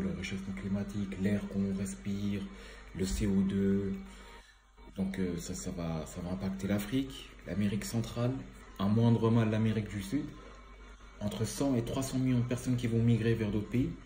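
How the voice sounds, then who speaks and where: A man speaks in a low, mellow voice, a little stuffy from a cold. He rambles casually and hesitates often as he thinks aloud. The sound bounces around an echoey room, with faint music underneath. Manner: rambling, hesitant, many fillers. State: has a cold, slightly stuffy. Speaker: environmental vlogger. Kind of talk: casual spoken commentary.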